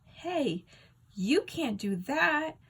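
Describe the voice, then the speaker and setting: A woman's voice: high voice, big pitch swings, extremely slow, pitched above the performer's own voice, heavily acted. A woman voicing a hot-tempered, indignant schoolboy in a storybook, emphatic and exasperated. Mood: outraged.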